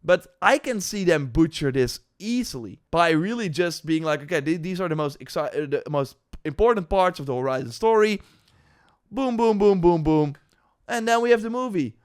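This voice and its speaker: A man giving casual, unscripted gaming commentary in a halting, rambling way, with animated emphasis and little acted-out asides. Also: a little high, clear, resonant tone. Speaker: gaming news streamer reacting to announcements and chat.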